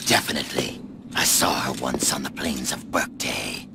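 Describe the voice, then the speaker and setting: Very deep, gravelly lone avenger. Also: male voice, lively intonation, moderate pace, full character voice. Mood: grim. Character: hard, cynical lone warrior out to avenge his people. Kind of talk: dramatic video game cutscene acting. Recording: noisy game cutscene audio.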